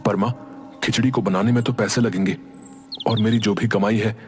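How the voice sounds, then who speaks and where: A man's voice: lowish voice, steady tone, moderate pace, darkish tone, close to an everyday voice. A man playing an earnest, determined young village son in a Hindi cartoon story, speaking respectfully. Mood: sincere. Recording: music behind the voice.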